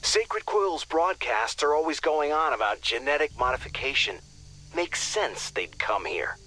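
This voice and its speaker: A man's voice: a little high, lively intonation, measured pace, sharp tone, exaggerated voice, low-quality recording. An actor playing a wry male mission handler who talks the squad through operations in a conversational tone.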